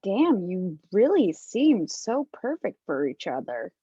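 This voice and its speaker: Female actor as flustered sick heroine, romantic comedy read-through, hesitant, slow delivery, muffled audio. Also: mid-range voice, very animated, mellow, slightly rough, heavily acted. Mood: awkward, regretful. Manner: halting, deliberate, with hesitant restarts. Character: flustered, wounded woman sick with a cold, romantic comedy lead. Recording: dull, roomy home recording.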